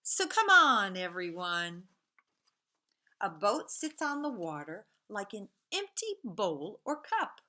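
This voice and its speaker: A woman's voice: mid-range voice, sing-song, slow pace, pushed and bright. A woman teacher reading a picture book aloud to young children and explaining simple machines in a sing-song storybook manner. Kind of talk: children's read-aloud lesson.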